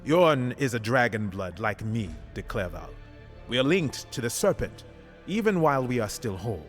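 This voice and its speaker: An actor playing a grave commander of dragon hunters in a fantasy game, speaking formally and solemnly in measured phrases. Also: male voice, medium pitch, very animated, soft background music, natural voice. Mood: grave, resolute. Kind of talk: scripted fantasy game cutscene acting.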